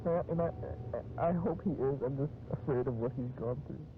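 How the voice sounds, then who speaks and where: A man playing a troubled witness in a mock documentary interview, speaking haltingly and repeating his words. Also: medium pitch, close to an everyday voice. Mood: worried. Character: troubled male witness interviewed about eerie events. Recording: dull lo-fi interview tape under music.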